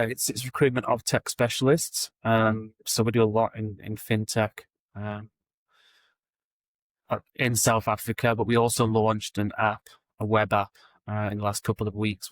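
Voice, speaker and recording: Male guest, low and flat delivery, casual and steady. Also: normal speed, dry recording. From England. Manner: relaxed, steady, frequent ums. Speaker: guest, recruitment founder. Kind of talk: casual interview answers.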